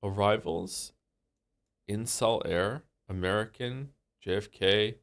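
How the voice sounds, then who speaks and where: A man around forty, a game streamer chatting casually on a live stream with a congested voice, rambling with many fillers and restarts in a low-key, mildly self-mocking way. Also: slow pace, fairly bright tone.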